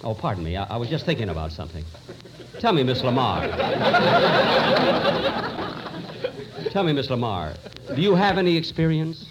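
A lovesick, easily flustered doctor played by a man, melodramatic and animated. It is old radio comedy, heard through heavy noise. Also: medium pitch, quick pace, close to an everyday voice. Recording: very noisy old radio recording.

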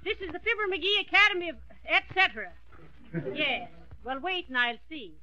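A homely, dry-witted wife in a vintage radio comedy. Her voice is high and very sharp and bright, heard through a dull old recording. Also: sing-song, moderate pace, full character voice. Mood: brisk, obliging. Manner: dry wisecracks, calls people dearie. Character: patient, dryly deflating middle-aged wife with homely warmth. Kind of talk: 1930s-40s radio comedy acting.

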